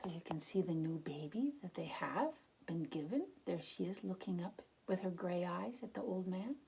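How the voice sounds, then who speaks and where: A woman's voice: on the low side, normal speed, creaky, a little hiss or hum, poor audio. Woman reading expressively to young children. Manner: expressive, explains words and pictures, asks gentle questions. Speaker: children's storybook reader. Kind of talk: read-aloud narration with simple asides to young children.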